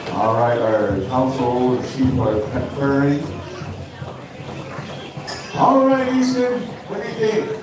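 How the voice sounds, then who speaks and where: A man from New Mexico announcing a powwow dance contest, calling out songs and numbers in loud, drawn-out calls. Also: a little high. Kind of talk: live event announcing over a PA. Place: outdoor powwow grounds. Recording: echoey PA heard from far off, noisy.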